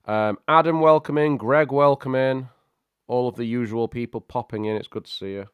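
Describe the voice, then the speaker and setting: A man hosting a casual tech livestream, chatty and greeting viewers by name, his voice a little croaky from a cold. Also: mid-range voice, moderate pace, no echo. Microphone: studio microphone.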